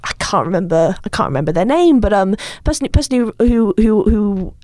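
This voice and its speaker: Woman, podcast panellist, casual discussion, hesitant and halting, repeated words, medium pitch, dry close sound. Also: animated, dark tone, dry recording.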